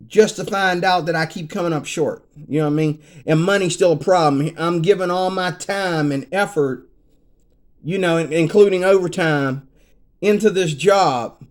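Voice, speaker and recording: High-voiced man in his fifties, rambling. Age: 50s. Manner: rambling, repeats "you know what I mean". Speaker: vlogger. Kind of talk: casual vlog rant.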